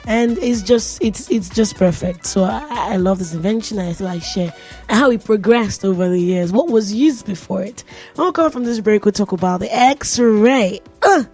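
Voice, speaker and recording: A woman's voice: mid-range voice, normal speed, darkish tone, soft background music. A woman with a Nigerian accent hosting a radio show, talking in a chatty, playful way and often laughing. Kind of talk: casual radio tech talk. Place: radio studio.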